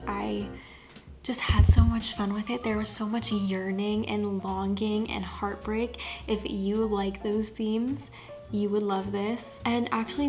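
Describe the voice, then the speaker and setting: A young woman talking casually as a book vlogger, chatty and filling her sentences with "like". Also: medium pitch. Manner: chatty, with frequent "like" fillers. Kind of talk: casual vlog talk.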